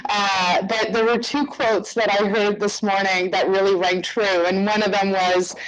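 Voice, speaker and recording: A woman answering as a conference panelist, loud and conversational, with frequent "um"s. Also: mid-range voice, level tone, unhurried, low-quality recording. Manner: loud, self-aware, conversational with fillers.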